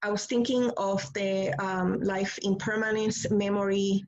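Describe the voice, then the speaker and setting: A woman from Puerto Rico, a visual artist, speaking about her work in a halting way with many pauses and restarts. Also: mid-range voice, steady tone, darkish tone. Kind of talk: hesitant artist talk. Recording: slightly dull lo-fi audio.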